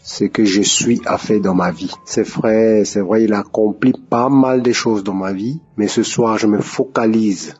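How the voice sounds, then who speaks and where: A man's voice: on the low side, steady tone, a little slow, hardly any pauses, darkish tone, slightly rough, lo-fi sound, soft background music. Earnest man in his fifties. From Republic of the Congo. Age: 50s. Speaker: testifier. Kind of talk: religious testimony.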